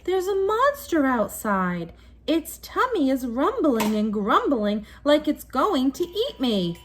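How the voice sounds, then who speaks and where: A woman's voice: high voice, very animated, voice pushed up, heavily acted. A woman voicing a frightened, pleading young bear cub in a storybook. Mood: scared, pleading. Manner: drawn-out, pleading. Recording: noisy room, home recording.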